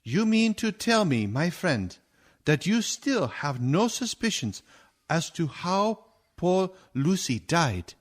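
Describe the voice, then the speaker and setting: A grave, commanding old vampire-hunting doctor, acted by a man in a radio play. He speaks slowly and emphatically, with rhetorical weight and widely swinging pitch. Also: sing-song, full character voice. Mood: probing, intense. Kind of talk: dramatic radio play acting.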